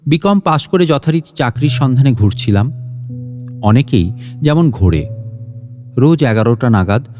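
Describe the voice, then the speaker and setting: A man's voice: mid-range voice, lively intonation, moderate pace, pauses often, warm tone. A man reads a literary story as a scripted audio narration. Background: guitar and instrumental music.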